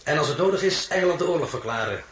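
Imperious, wildly swooping French emperor. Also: male voice, on the high side, expressive, rapid-fire, a bit bright. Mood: brisk, scheming. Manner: commanding, theatrical. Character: imperious, volatile French emperor, mocking and commanding. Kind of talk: radio drama acting. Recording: roomy sound with faint noise.

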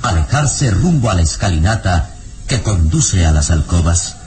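A man's voice: deep voice, big pitch swings, normal speed, a little mellow, slight background noise. A man narrating a vintage radio serial in a dramatic, scripted style. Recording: old radio recording with music underneath.

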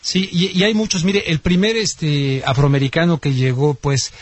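Radio host, dry studio sound. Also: male voice, fairly high pitch, lively intonation, normal speed, no room sound. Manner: conversational, interjecting, uses "este". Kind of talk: radio interview.

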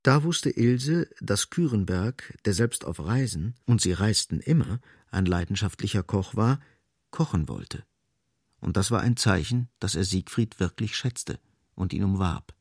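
Male narrator for literary radio play: low, slightly rough voice, dry close sound, deliberate phrasing. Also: animated, darkish tone, dry recording. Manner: measured, deliberate, emphatic repetition.

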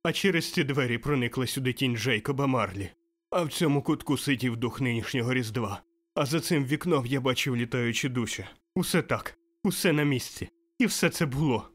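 A man's voice: medium pitch, sing-song, a little fast, voice pushed up. A man voicing an old miser turned overjoyed and giddy, exclaiming breathlessly in an audiobook. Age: older. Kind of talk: audiobook character voice.

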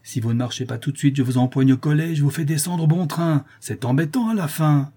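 Casting: male reader as coarse, menacing bailiff's officer for a storybook, husky voice, insolent bully who whines when overpowered. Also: mid-range voice, moderate pace, dark tone, lightly acted. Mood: exasperated, brutal.

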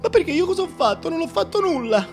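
An actor voicing a meek, naive, pious duke who pleads and placates in a theatrical radio monologue. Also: male voice, very high-pitched, sing-song, quick pace, faint music behind, pitched above the performer's own voice. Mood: plaintive. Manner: plaintive, placating.

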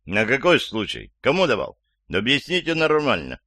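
An irritated male police official, as a male narrator reads him, curt and dismissive. The voice is mid-pitched and impatient, in a dry, close audiobook recording. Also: huge pitch swings, moderate pace, sharp tone, no echo, full character voice.

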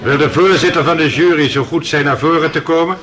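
Actor as a bright, forceful presiding judge. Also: male voice, fairly high pitch, a little fast, close to an everyday voice. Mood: formal, commanding. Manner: formal, official, projecting. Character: formal presiding judge addressing the court. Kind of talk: Dutch radio play acting.

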